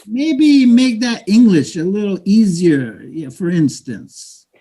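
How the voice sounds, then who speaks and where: A man's voice: high-pitched, animated, slow, warm tone, husky, heavily acted. A man playing a proud, scheming middle-aged immigrant father in a staged play reading, pleading and emphatic. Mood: demanding.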